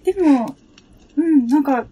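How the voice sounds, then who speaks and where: High-voiced woman guest chatting casually. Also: measured pace, dull audio, slight background noise. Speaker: guest, regular listener of the show. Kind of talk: casual chat.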